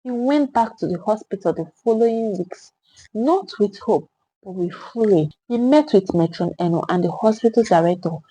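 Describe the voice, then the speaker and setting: A Nigerian woman narrates a dramatic tale in a mellow, slightly husky voice. Her delivery is solemn and theatrical, shaped as scripted storytelling. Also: mid-range voice, lively intonation, normal speed, rough recording.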